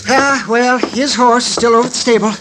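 An actor playing a fussy, talkative old frontier town doctor who exclaims and quavers, in a 1950s radio drama. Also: male voice, extremely high pitch, steady tone, a little fast, fairly bright tone, low-quality recording. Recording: old radio broadcast with clear hiss.

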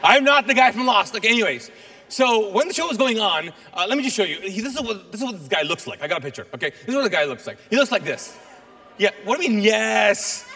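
A middle-aged man preaching to a congregation, his first language Urdu. His voice sits high and bright. It rings with a long reverb from a large church auditorium. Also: fast. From Kuwait. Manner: lively, joking with the congregation. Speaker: guest pastor. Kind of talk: sermon.

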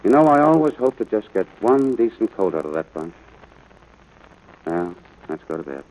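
An actor playing a stern, worn-out rancher father, gruff but caring, in 1940s radio drama style. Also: fairly low pitch, very animated, normal speed, a little mellow. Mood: weary, gruff. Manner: gruff, exasperated. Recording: noisy old radio broadcast.

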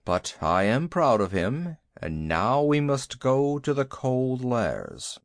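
A quick-witted, commanding panther from a storybook, read by a man. He speaks in courteous, formal, old-fashioned phrases with an animated pitch and a dry, close sound. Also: dry recording, full character voice. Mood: resolute.

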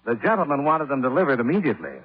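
An actor playing a wry, hard-boiled, unflappable private investigator in deadpan 1950s radio noir style. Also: male voice, medium pitch, rapid-fire, very noisy, natural voice. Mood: wisecracking. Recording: tinny old radio recording, music behind.

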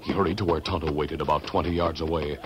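A man playing the dramatic narrator of a 1950s radio western adventure, with an American accent and deliberate storytelling delivery. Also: extremely low pitch, fairly even intonation, background noise. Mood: measured. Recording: old radio broadcast recording.

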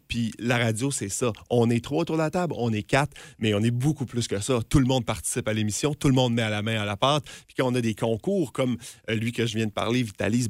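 A man's voice: medium pitch, dry recording, studio quality. A man with a Quebec French accent, chatting upbeat and promotional on a morning radio show, with plenty of fillers. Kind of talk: enthusiastic radio chat. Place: radio studio.

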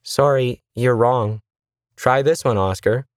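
A man's voice: mid-range voice, slow pace, no room sound, studio quality, voice pushed up, natural voice. A man voicing a stressed, weary woman who trains machines, curt and defensive, in an audio story. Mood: instructing.

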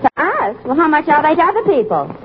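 Woman teasing in an old radio comedy, bright high voice, muffled with loud hiss. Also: wildly swooping pitch, fast. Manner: teasing, dry wisecracks. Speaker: comedy show regular.

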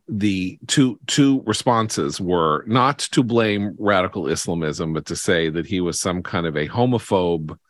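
An American man with a very low voice hosts a political talk podcast. He speaks emphatically but haltingly, circling back to repeat phrases for effect. The sound is dry, with no room echo. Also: fairly bright tone. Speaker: podcast host. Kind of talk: political commentary in conversation.

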